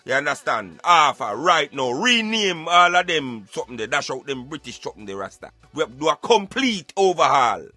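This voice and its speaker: A man's voice: on the high side, big pitch swings, a little fast, few pauses, bright, forceful tone, dry recording, soft background music. A man with a Jamaican accent giving emphatic, preachy, rhetorical opinions in an impassioned talk style. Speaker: radio show host.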